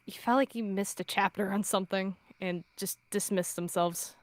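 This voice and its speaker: A woman giving halting, improvised story narration for her character in a roleplay. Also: mid-range voice, steady tone, no echo.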